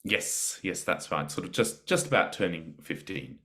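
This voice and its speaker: A man with a slight British accent, speaking as a guest on a podcast about teaching teenagers, measured and reflective with frequent pauses. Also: low-pitched, expressive, sharp tone. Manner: measured, reflective, pausing often. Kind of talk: podcast interview.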